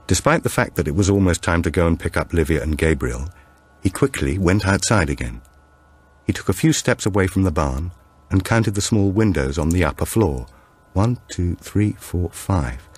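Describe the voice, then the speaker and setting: Deep-voiced male narrator, slightly husky. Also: animated. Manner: measured storytelling. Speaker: audiobook narrator. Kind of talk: scripted narration of a suspense novel.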